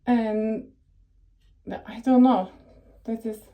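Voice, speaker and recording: A woman's voice: fairly high pitch, fairly even intonation, extremely slow, narrow, tinny audio. A young woman from Turkey with a Turkish accent, giving casual career advice in a rambling, chatty way full of fillers and self-corrections. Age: young adult. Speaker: tech professional giving advice.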